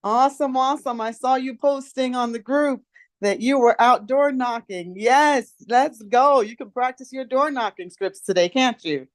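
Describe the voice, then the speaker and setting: A woman's voice: sits high, moderate pace, no echo. A woman from Trinidad with a light Trinidadian accent, leading a motivational call with emphatic, encouraging repetition. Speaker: call facilitator.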